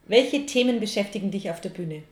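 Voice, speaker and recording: A woman hosts an interview podcast. She reads the guest's introduction in a clear, measured way and then asks plain questions. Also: medium pitch, expressive.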